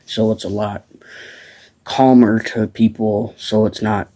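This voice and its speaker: A man's voice: on the low side, level tone, takes it slowly, dark tone. A teenage boy from the Midwest, rambling casually about farms outdoors at dusk, with lots of fillers and restarts. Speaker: farm vlogger.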